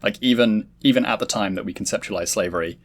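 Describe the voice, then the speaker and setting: A man's voice: lowish voice, fast. A man explaining his points analytically in a casual podcast discussion. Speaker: podcast panelist.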